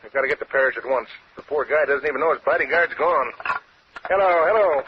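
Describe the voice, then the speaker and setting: A man acting as an homicide inspector, blunt and urgent. He talks fast in a fairly high voice and orders people about. It sounds like a muffled old radio drama. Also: close to an everyday voice. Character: no-nonsense, excitable American male homicide inspector. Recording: muffled, noisy vintage radio recording.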